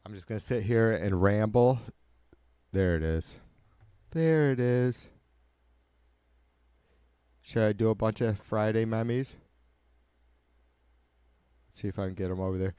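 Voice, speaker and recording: Low-voiced raspy, nasal man; swears bluntly and rambles casually, dry close sound. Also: unhurried, long pauses, warm tone, no echo. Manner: profane, blunt, joking. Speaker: livestreamer. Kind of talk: casual rambling livestream chat.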